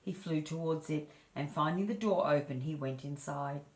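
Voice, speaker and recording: Female storyteller, low register, measured and expressive children's read-aloud, roomy echo on the recording. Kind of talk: reading a children's fairy tale aloud. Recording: echoey room.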